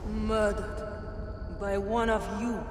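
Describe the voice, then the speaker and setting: Slow, haughty villainess in echoing space. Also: female voice, medium pitch, steady tone. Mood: haughty, defiant. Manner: haughty, unrepentant, measured. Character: proud, ruthless noblewoman, unrepentant murderous villain. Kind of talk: dramatic video game acting. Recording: echoey long reverb, noisy ambience behind.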